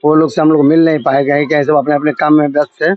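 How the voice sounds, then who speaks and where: A man in his sixties, a madrasa's general secretary, answering a reporter hesitantly and filling his pauses. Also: on the high side, flat delivery, no room sound. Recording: muffled lo-fi audio.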